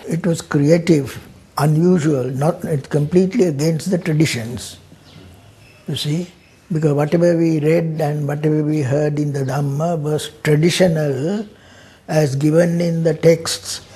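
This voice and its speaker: An elderly man, speaking haltingly in an indoor documentary interview, repeating words. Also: a little high, fairly even intonation, dark tone, slightly rough. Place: indoors in a living room.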